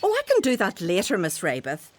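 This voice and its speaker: A woman's voice: medium pitch, wildly swooping pitch, fairly quick. An actress playing a nosy, falsely obliging female household servant in a radio drama, with a lilting, theatrical delivery. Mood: sly, obliging.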